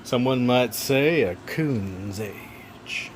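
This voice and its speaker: Brief: easygoing man, relaxing mid-pitched voice, folksy wildlife-clip narrator, faint hiss behind. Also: animated, extremely slow. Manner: gentle, folksy, joking asides. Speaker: narrator of wildlife camera footage. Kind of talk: casual narration over video.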